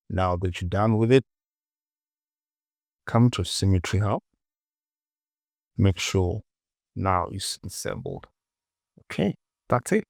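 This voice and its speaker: Male tutorial presenter, unhurried and slow, dry close sound, thinking aloud in short clipped phrases. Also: on the low side, creaky, a little mellow, no room sound. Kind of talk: casual screen-recorded software tutorial, thinking aloud.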